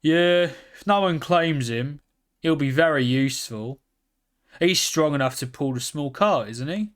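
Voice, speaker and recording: A man voicing a gruff, rumpled caravan man in a storybook, blunt and exclamatory. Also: on the high side, animated, measured pace. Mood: scheming.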